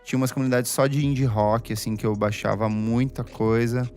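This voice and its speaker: A man in his twenties hosting a casual music podcast, chatty and loose with lots of fillers and quick asides. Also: mid-range voice, steady tone, a little mellow. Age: 20s. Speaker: podcast host. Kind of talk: casual chat.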